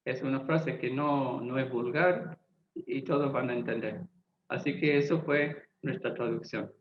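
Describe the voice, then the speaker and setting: A man who is not a native Spanish speaker, a Bible translator giving a lecture-style talk, deliberate and often pausing to search for words. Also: medium pitch, a little hiss or hum. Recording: echoey, tinny phone-like sound.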